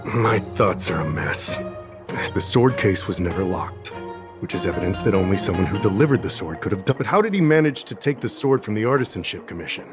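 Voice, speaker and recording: A fretful, flustered male official hesitant and muddled, filling his speech with "uh"s. His voice is very low. Faint game music plays behind him. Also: expressive, brisk.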